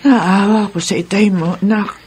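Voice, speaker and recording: A woman's voice: medium pitch, fairly even intonation, moderate pace, darkish tone, a little hiss or hum, lightly acted. An actress playing a sickly, sorrowful poor mother, weary and full of pity, in a radio drama. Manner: weary, pitying.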